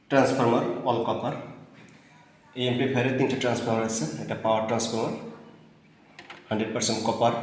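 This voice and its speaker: Man in a very echoey room. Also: medium pitch. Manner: deliberate, short phrases, repeats key specifications. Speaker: teacher presenting his own amplifier build. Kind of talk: product demonstration and technical explanation. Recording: very echoey room with long reverb.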